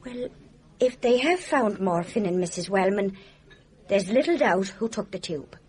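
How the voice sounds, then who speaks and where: Gossipy nurse in a radio drama, chatty, blunt, emphatic, normal pitch. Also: female voice, animated, unhurried, darkish tone, breathy edge. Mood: confiding, certain. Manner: chatty, emphatic, folksy turns of phrase. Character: gossipy, plain-spoken female nurse. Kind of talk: radio mystery drama acting.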